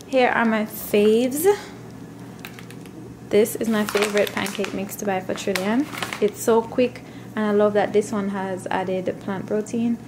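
A woman chatting casually as a vlogger, with an upbeat, expressive manner. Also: medium pitch, a little slow, noisy background. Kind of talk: casual vlog chat.

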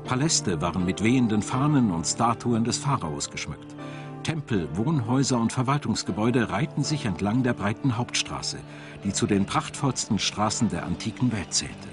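A man reads scripted documentary narration in a measured, articulate, storytelling way. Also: lowish voice, lively intonation. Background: background music.

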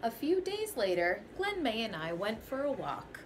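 Expressive young woman reading a picture book. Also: on the high side, moderate pace, slight background noise. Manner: expressive storytelling, first person as the goat. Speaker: teacher. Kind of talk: children's picture book read-aloud. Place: small bedroom, indoors.

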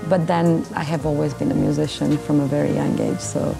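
Woman w armenian accent, 30s, kinda low voice, interview chat, music behind her. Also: flat delivery, fairly quick, vocal fry. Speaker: cellist and vocalist. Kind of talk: interview testimonial. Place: indoor backstage area, seated.

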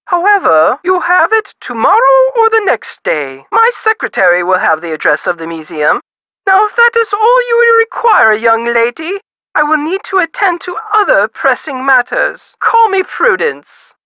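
An actress voicing a haughty, fussy rich society matron in a video game, with theatrical, imperious grand diction. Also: female voice, high-pitched, wildly swooping pitch, moderate pace, sharp tone, no room sound, muffled recording, full character voice.

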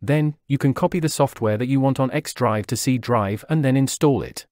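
Steady male tutorial narrator, dry sound. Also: medium pitch, moderate pace, no echo. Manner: steady, instructional, step-by-step delivery. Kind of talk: scripted software walkthrough voice-over. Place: voice-over over screen recording.

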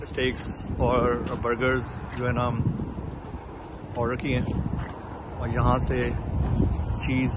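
A man in his thirties casually reviewing food at an outdoor food truck park, savoring each bite and mixing Hindi with English, filmed on a handheld phone. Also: mid-range voice. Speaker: food vlogger. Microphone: handheld phone camera. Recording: outdoor street noise. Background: outdoor traffic and street ambience.

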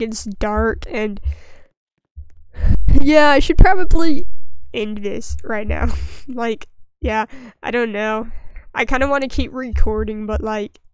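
High-voiced, sniffly young woman gamer. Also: clear, resonant tone, no echo, poor audio. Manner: rambling, self-deprecating, dry humour. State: sniffly, getting over a cold. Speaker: gaming video creator. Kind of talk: casual gameplay commentary.

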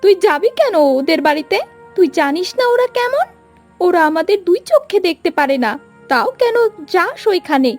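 A very high-pitched cartoon mother played by a woman, poor, worried and loyal to her family. Music plays faintly under her voice. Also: big pitch swings, moderate pace, heavily acted. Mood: scolding. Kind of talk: cartoon fairy tale voice acting.

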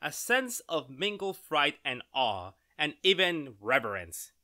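An actor playing an arrogant, excitable male scientist, condescending and theatrical, in an old-style radio drama. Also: on the high side, unhurried, heavily acted. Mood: smug, musing. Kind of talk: retro 1930s radio drama acting.

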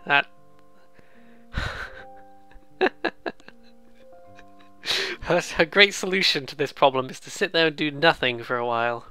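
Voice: mid-range voice, animated, slow pace, long pauses, pushed and bright, clean tone, no echo, soft background music. A British let's player giving casual, rambling, amused commentary on a visual novel, with some swearing. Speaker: let's play host.

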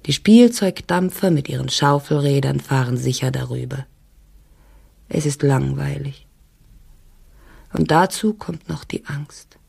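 Casting: melancholy, isolated letter writer for radio play, creaky and mellow, hushed endings. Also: female voice, a bass voice, a little slow, long pauses, heavily acted. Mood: wistful, oppressed. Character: lonely, melancholy outsider confiding in a letter. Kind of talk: dramatic letter reading in an audio drama.

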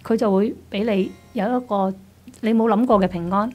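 A woman speaks as a radio guest in a Hong Kong Cantonese accent. Her pitch sits in the middle, and she shares in a conversational testimony style at a studio mic, with music in the background. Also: normal speed, highs cut off. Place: small padded radio studio. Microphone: studio desk microphone.

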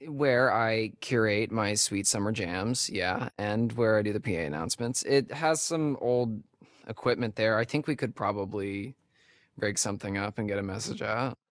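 An actor playing a sarcastic young American camp counselor in a horror video game, hesitant and conversational in delivery. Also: male voice, on the low side, normal speed, dry recording, studio quality, natural voice. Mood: defensive, wry. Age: young adult. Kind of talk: naturalistic horror game dialogue.

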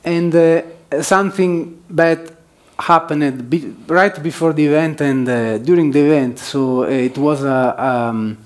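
A man's voice: fairly high pitch, a little slow, hardly any pauses. A man presenting at a developer conference as one of its organizers, hesitating often with fillers and restarts. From southern Switzerland. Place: conference hall.

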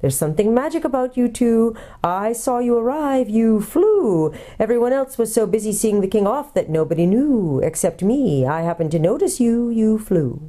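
Big fussy owl for a storybook, soft n hooty, high and swoopy, repeats stuff. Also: female voice, expressive. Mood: sad, musing. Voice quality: soft, hooting. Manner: repeats itself in drawn-out owl-like hoots.

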